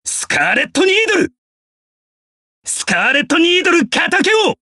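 A man playing a hot-blooded, brash young warrior in an anime game, rough and explosive. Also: very high voice, big pitch swings, moderate pace, dry recording, studio quality, exaggerated voice. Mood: fired up. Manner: rough, explosive battle shouting. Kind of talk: anime game battle acting.

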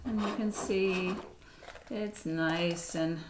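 A middle-aged woman giving a relaxed, chatty cooking demonstration in her home kitchen, talking through each step as she mixes. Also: fairly low pitch, slow pace, very noisy. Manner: relaxed, chatty step-by-step instructions while mixing. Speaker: cooking instructor. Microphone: fixed camera across the counter. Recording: echoey kitchen with clattering dishes.

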